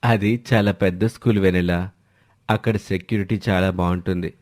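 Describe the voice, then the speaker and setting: Man acting low-voiced placating young father. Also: fairly even intonation, moderate pace, a little mellow, no room sound, clean studio sound, natural voice. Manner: reassuring, persuasive.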